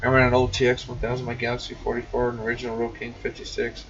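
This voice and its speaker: A man's voice: mid-range voice, completely flat delivery, quick pace, fairly bright tone. A man hosting a casual shop livestream, reading and answering viewer comments in a folksy, informal way. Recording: muffled, distant mic with faint noise.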